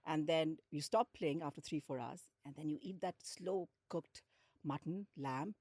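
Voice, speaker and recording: Low-voiced Indian-accented woman, close dry sound. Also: moderate pace, darkish tone, dry recording. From India, grew up in Lucknow and Hyderabad. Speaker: podcast guest, hospitality executive. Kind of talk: conversational interview storytelling.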